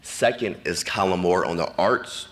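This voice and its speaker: A man, a school official, reading formal ceremony announcements from a podium in a measured, scripted way. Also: deep voice, fairly bright tone. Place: large ceremony venue.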